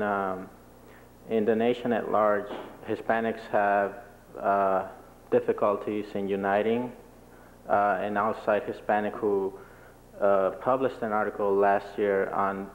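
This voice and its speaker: A man's voice: lowish voice, level tone, slow. A man in the audience asking a long question to a panel, hesitant and full of fillers. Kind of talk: question from the floor. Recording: phone-like thin sound, faint room noise.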